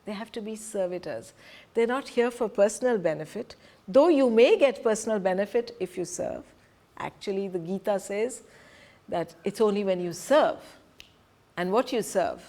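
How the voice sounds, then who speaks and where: A woman's voice: medium pitch, darkish tone. Middle-aged woman from Punjab, Hindi speaker. Earnest, emphatic group presenter. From Punjab, India. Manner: earnest, emphatic, stresses key words. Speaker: presenter and teacher. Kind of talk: reflective talk to a group.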